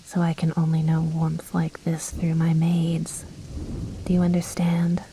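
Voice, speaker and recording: A woman playing a commanding, possessive vampire noblewoman in an intimate ASMR roleplay, speaking in breathy whispers with a haughty, coaxing, softly menacing manner. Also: deep voice, monotone, a little slow, a little hiss or hum, poor audio. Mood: seductive, controlling.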